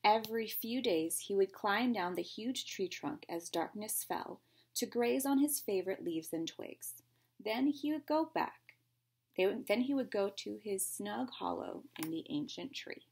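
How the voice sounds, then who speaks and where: A woman's voice: medium pitch, lively intonation, a little slow, vocal fry, darkish tone, breathy edge. A woman reading a picture book aloud to young children like a teacher, expressively, with simple explanations and questions along the way.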